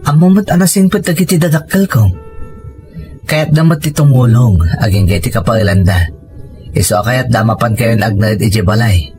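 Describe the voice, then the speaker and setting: Actor as earnest Ilocano husband, dutiful son, medium male pitch, family radio drama, background music. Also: normal speed, a little mellow, lightly acted. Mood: reassuring.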